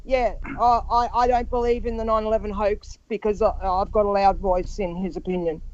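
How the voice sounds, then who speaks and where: A woman with a loud voice, chatting bluntly and sweary in an exasperated way on an online panel. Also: medium pitch, fairly even intonation, a little fast. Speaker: podcast panellist. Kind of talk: casual online panel chat. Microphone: online group call microphone. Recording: dull, lo-fi online call.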